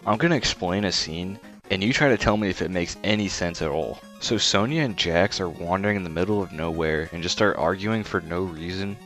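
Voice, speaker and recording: Low-voiced man narrating a blunt review. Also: brisk, fairly bright tone. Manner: blunt, disparaging, informal with swearing. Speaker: video essay host and film critic. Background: faint music.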